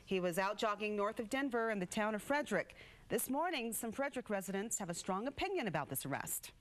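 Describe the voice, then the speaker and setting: A woman's voice: medium pitch, big pitch swings, fast, a little hiss or hum. An American woman reading scripted morning news as a television anchor.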